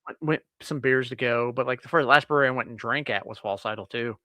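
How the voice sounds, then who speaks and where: A man co-hosting a podcast, rambling on at an easy pace from his home office. His voice sits at a middle pitch and he swears now and then. The sound is dry and close. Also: fast, bright, forceful tone, dry recording. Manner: rambling, laid-back, swears casually. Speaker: podcast co-host. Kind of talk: casual chat.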